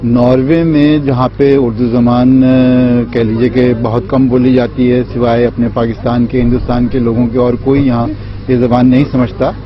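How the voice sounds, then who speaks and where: A man's voice: medium pitch, flat delivery, normal speed, over music. Explanatory man in a muffled interview. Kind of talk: spoken interview commentary. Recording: dull interview sound, faint noise.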